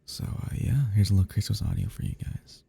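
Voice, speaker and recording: A man speaks casually to his listeners as an audio creator. His voice is deep and very mellow, with a creaky edge. The delivery is informal and conversational. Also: brisk. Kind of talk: casual outro to followers.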